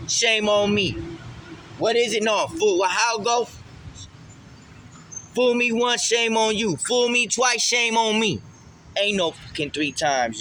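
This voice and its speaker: A man's voice: on the high side, fairly bright tone, a little hiss or hum. A man speaking emphatically in street slang in a casual spiritual vlog monologue, often repeating phrases for emphasis. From Puerto Rican heritage. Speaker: vlogger.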